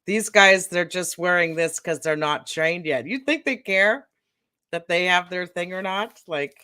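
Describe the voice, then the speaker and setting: A woman's voice: on the low side, unhurried, sharp tone, smooth. A woman co-hosting a casual podcast chat, blunt and profane with sarcastic asides. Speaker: co-host.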